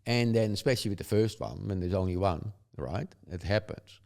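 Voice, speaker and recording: A man's voice: lowish voice, fairly quick, vocal fry, darkish tone. An older man from the Netherlands with a Dutch accent, telling stories in casual chat, chuckling often and rambling with tag questions. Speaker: guest.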